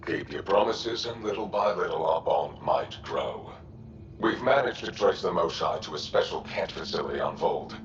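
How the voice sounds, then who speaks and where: Very deep, stern alien commander. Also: male voice, expressive, moderate pace, vocal fry. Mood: measured. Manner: curt, formal, sceptical. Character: stern, guarded male alien resistance commander. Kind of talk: cinematic video game acting. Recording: roomy, dull-toned game audio with faint music.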